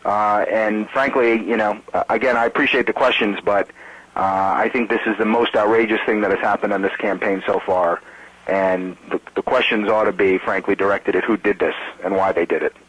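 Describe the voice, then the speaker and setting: An American man, a campaign spokesman, answering reporters on a conference call in a halting, measured way. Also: on the low side, fairly even intonation, a bit bright, slightly rough, rough recording. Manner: halting, measured, hesitant delivery.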